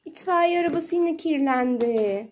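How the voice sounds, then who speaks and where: A woman's high voice speaks in playful baby talk, as a mother does with a small child. She narrates the game in a sing-song way, repeating little words. The recording is a homemade one with clear background noise. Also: expressive, unhurried. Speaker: mother playing with her young child. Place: indoors at home beside water play tubs. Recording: noisy home phone recording with clicks.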